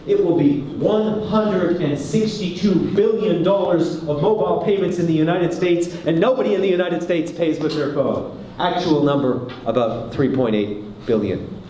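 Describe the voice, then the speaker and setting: A Canadian man speaks at a conference, lively and joking, and keeps putting questions to the crowd. His voice is mellow and a little high for a man. The sound comes from far off in a very echoey hall. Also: moderate pace, slight background noise. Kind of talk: conference talk to a live audience.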